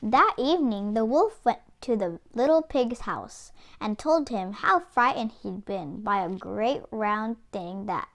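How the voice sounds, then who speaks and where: A woman reading a children's story aloud as an expressive narrator. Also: mid-range voice, unhurried, hardly any pauses. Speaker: children's book reader.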